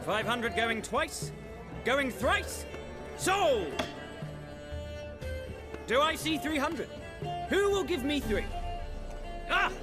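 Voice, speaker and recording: An actor playing a courteous, ceremonious auctioneer in a video game, announcing formally and theatrically. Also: male voice, extremely high pitch, sing-song, drawn-out words, pauses often, full character voice. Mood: brisk, excited. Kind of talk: video game cutscene acting. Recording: music behind the voice.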